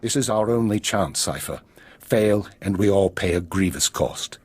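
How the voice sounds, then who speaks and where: An actor playing a guarded intelligence chief in a video game, measured, formal and authoritative. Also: male voice, deep voice, expressive, husky. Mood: grave. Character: grave, guarded male intelligence spymaster. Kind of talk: video game drama acting.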